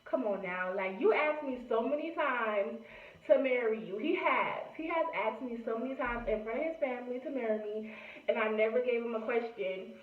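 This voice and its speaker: Chatty high-voiced young woman, echoey tinny sound. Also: moderate pace, far from the mic. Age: late 20s. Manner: chatty, many fillers like "you know". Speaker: vlogger. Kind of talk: casual chat to camera. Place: room at home.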